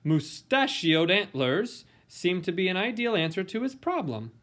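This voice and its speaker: A man reading a children's picture book aloud in a playful, theatrical way with dramatic emphasis and pauses. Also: sits high, very animated, fairly bright tone. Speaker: storybook reader.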